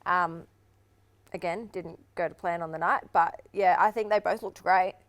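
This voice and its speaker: A woman co-hosting a boxing show, chatting in a lively, animated way. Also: lowish voice, moderate pace. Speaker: boxing show co-host, boxer. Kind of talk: casual sports chat.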